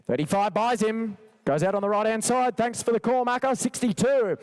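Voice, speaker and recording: High-pitched man, auctioneer's rhythmic chant. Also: moderate pace, a little mellow. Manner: repetitive, insistent bid-calling. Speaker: livestock auctioneer. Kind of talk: rapid rhythmic auction chant calling bids. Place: sales arena.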